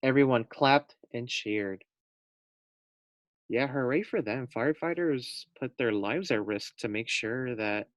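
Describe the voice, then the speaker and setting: A young man, a teacher, reading a picture book aloud to first graders, slow and gentle with asides to the children. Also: medium pitch, no echo.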